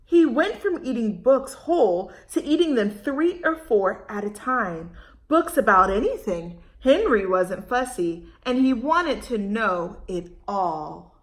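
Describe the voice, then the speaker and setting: Woman, children's storytime reader. High voice, very lively pitch swings, expressive, poses questions to young listeners. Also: reverberant. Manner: expressive, dramatic pauses, asking listeners questions. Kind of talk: animated read-aloud for young children.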